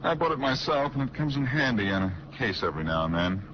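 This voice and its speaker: An American actor playing a breezy, wisecracking amateur sleuth with light teasing banter in a 1940s radio drama. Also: male voice, mid-range voice, very animated, quick pace, close to an everyday voice. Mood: easy, confident. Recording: muffled, faintly noisy 1940s radio recording.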